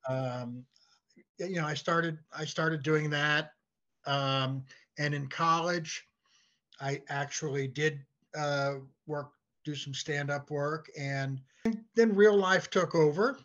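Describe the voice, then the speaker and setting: An older man chatting as an interview guest, halting and repeating words as he searches for his phrasing. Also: a little high, fairly bright tone. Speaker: guest, playwright and comedy writer. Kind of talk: casual interview chat. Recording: dull, lo-fi voice track.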